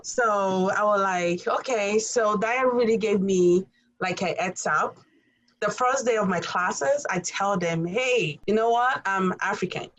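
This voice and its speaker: A woman from Nigeria speaks with a Nigerian accent, pitched in the middle. She is a college English instructor sharing experiences on a podcast panel, earnest and full of "um" and "you know". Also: unhurried, fairly bright tone, rough recording. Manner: storytelling, earnest, many fillers. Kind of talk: roundtable podcast discussion.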